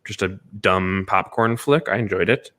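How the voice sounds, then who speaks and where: A man's voice: low-pitched, normal speed, bright, forceful tone. A man co-hosting a casual group discussion, offering opinions and qualifying them as he goes. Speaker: podcast co-host.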